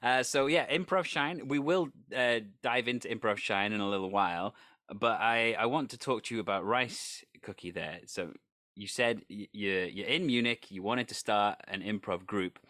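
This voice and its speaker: A man's voice: on the low side, moderate pace, bright, forceful tone, no echo. Man hosting, dry close sound. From Wales. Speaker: interview host. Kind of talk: casual conversational interview.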